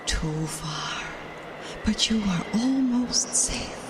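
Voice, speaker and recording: Slow, distant, whispery wind spirit. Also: female voice, mid-range voice, animated. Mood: stern, urging. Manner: terse, breathy, commanding, often whispering. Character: female wind spirit, weary, stern, elemental. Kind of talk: audio drama acting. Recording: distant voice with clear background noise.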